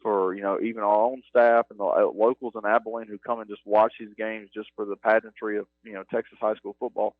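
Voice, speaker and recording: A man's voice: fairly low pitch, flat delivery, brisk, few pauses, no room sound. A man who runs game operations at a stadium, a guest on a halftime radio interview, talking earnestly and rambling with many "you know"s. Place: press box broadcast booth at a stadium. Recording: narrow phone-like radio broadcast, dry.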